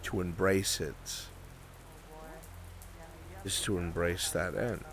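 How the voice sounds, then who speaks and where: A man acts out a grave scholar of magic in a fantasy roleplay. His low voice moves very slowly, with deliberate pauses. The phrasing is formal and cautioning throughout. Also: fairly even intonation, a bit bright, heavily acted. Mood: solemn warning. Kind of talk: improvised fantasy roleplay acting.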